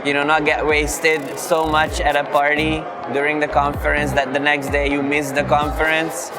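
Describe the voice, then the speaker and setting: A man who grew up in Canada answers questions in a loose, rambling way, dropping "you know" often. His voice sits a little high for a man. Loud music plays behind him in the busy conference hall. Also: monotone, normal speed. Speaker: guest, affiliate marketer. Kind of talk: conference interview.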